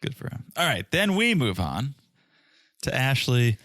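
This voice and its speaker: A man's voice: medium pitch, big pitch swings, measured pace, a bit bright, no echo, clean studio sound. A man co-hosting a podcast, chatting casually and jokingly about a reality show. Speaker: podcast co-host.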